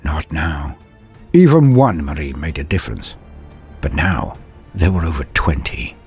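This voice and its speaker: A man's voice: very deep voice, big pitch swings, unhurried, darkish tone, husky. A man reading scripted stories as a narrator, with a solemn, theatrical, dramatic delivery. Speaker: narrator of fan fiction war tales. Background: music.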